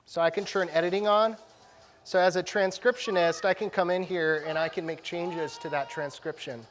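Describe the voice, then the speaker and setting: Man, slightly high voice, conference tech demo, casual delivery, slangy and informal wording. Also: steady tone, moderate pace, a bit bright, faint music behind. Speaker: conference presenter, technology director. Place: live conference session room.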